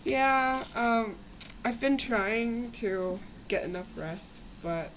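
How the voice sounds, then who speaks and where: Slightly raspy young woman, noisy background. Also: on the high side, lively intonation, slow, fairly bright tone. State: sick with a cough, itchy throat. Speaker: live streamer building model kits. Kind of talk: casual live stream chat. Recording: clear background noise, home livestream.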